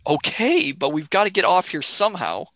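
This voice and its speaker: A man's voice: high-pitched, sing-song, normal speed, bright, forceful tone, no echo, exaggerated voice. A man playing a young, sardonic space-travel companion in a radio play, questioning with urgent, dry impatience.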